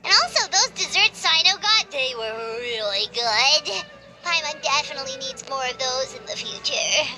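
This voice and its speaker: A woman voicing a tiny, chirpy female fairy companion in a video game, with a high, squeaky, excitable voice. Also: normal speed. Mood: content. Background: game music.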